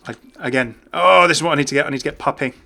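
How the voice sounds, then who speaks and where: A man's voice: on the high side, racing, gravelly, sharp tone. A man giving casual, jokey commentary as a gaming video host. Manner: chatty, jokey.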